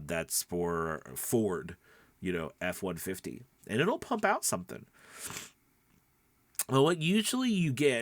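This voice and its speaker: A man with a fairly low voice talks through a lesson like a coach chatting to beginners. He rambles conversationally and keeps checking in as he goes. The sound is dry and close, with very little room. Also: no room sound. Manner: conversational and rambling, often checking with "right?" and "you know". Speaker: voice-over coach and podcast host. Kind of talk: informal tutorial talk.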